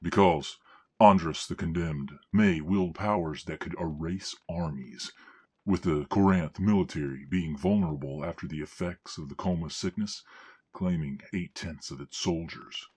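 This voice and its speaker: A man playing a grave military strategist in a fantasy audio drama, with a husky voice and formal, deliberate delivery. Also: deep voice, a little mellow, dry recording.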